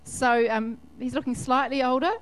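A woman's voice: high-pitched, fairly even intonation, moderate pace, clear, resonant tone, low-quality recording. A woman leading a church service, who chats in a friendly way with a guest and leads a prayer.

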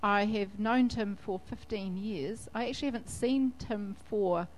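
A woman leading a church service, mid-pitched and friendly. She interviews a guest in a chatty way and leads the congregation in prayer. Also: a little slow, poor audio.

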